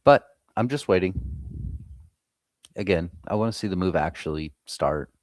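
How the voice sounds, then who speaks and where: A man's voice: low-pitched, expressive, moderate pace, dry recording. A man hosting a live trading stream, talking in casual, profane banter. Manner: profane, laid-back banter.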